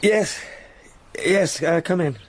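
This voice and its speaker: An actor playing a courteous, weary young gentleman with in a radio drama. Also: on the high side, takes it slowly, a little hiss or hum. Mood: weary, polite. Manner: courteous, formal, well-mannered.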